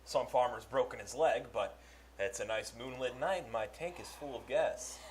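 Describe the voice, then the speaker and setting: A man's voice: mid-range voice, normal speed, lightly acted. A man playing a flirtatious, courtly country doctor in a 1940s-style radio comedy, with hesitant phrasing. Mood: suave, inviting. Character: smooth, flirtatious country doctor, male rival suitor. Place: small town theater stage. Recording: live stage recording with faint noise.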